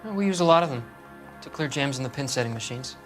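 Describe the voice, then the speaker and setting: A man acts out an anxious American suspect in a crime drama game. His voice sits at a middle pitch and sounds defensive, nervous and hesitant. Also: lively intonation, quick pace, music in the background, lightly acted. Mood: uneasy. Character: anxious, defensive male bowling alley worker suspect. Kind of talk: video game voice acting, 1940s crime drama.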